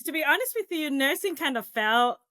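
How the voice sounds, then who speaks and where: A woman's voice: high-pitched, expressive, a little fast, pushed and bright, dry recording, studio quality. A middle-aged woman, born and raised in Kenya, speaking candidly and reflectively as an interview guest on a podcast. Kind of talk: interview conversation.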